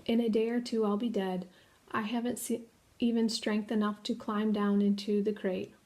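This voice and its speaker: Calm dying spider lady, woman reading her, soft and low, warm mellow voice. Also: normal speed, close to an everyday voice.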